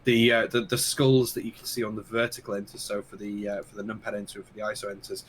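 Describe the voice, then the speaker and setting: A man co-hosting a casual keyboard podcast, losing his voice and talking in halting bits full of fillers. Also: on the low side, flat delivery, a little hiss or hum. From United Kingdom. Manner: halting, many fillers and restarts. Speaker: podcast co-host. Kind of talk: casual chat about keyboards.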